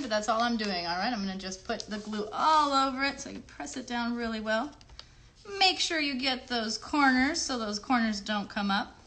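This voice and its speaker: Bright, high-voiced woman teaching kids crafts. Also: slight background noise. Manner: chatty, encouraging, often asks the viewers questions; simple child-directed wording. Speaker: children's craft livestream host. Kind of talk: step-by-step craft demonstration for children.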